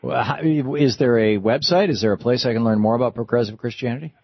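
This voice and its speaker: A man's voice: mid-range voice, fast talker, vocal fry, slightly rough, no echo, muffled recording. An American man in his sixties hosting a radio call-in show in a conversational talk style. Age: 60s. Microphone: close studio microphone.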